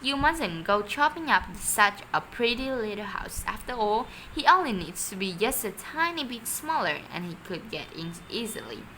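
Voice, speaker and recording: A woman's voice: a little high, very animated, normal speed, hardly any pauses, sharp tone, a little hiss or hum, low-quality recording. A woman playing a polite, eager storybook man who earnestly advises his neighbour. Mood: reassuring.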